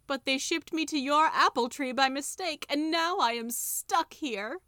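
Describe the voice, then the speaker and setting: A woman's voice: sits high, measured pace, bright, forceful tone, no echo, clean studio sound, voice pushed up. A woman voicing a lonely, mournful talking tiger skin that wails and pleads. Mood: plaintive. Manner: plaintive, wailing.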